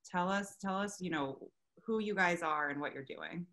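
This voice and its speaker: Bright, bubbly young woman hosting. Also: on the low side. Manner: bubbly, enthusiastic, quick restarts. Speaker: interview host. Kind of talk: upbeat casual interview chat. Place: outdoors in a park by water. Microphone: video call webcam.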